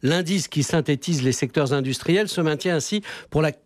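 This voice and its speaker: A man's voice: a little high, normal speed, no echo. A French man in his sixties explaining the economy as a radio columnist, punctuating his points with conversational tags, close on a studio microphone. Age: 60s. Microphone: close studio microphone on a stand.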